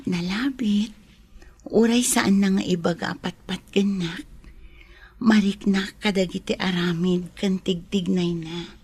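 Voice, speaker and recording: A gentle, wistful lady of the house with an Ilocano accent. Her voice is husky and medium in pitch, kind yet firm in manner. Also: steady tone, a little slow. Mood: tender, wistful. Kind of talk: Ilocano radio drama acting.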